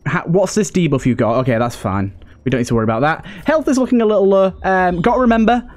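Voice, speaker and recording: A man's voice: high-pitched, animated, fast, darkish tone. A man giving casual let's play commentary, thinking aloud and repeating phrases for emphasis. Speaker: gaming video host.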